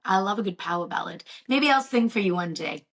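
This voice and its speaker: A young woman co-hosting a podcast as a content creator, slightly croaky after karaoke and enthusiastic in casual chat. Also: medium pitch, expressive, fairly bright tone. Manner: enthusiastic, quick to agree.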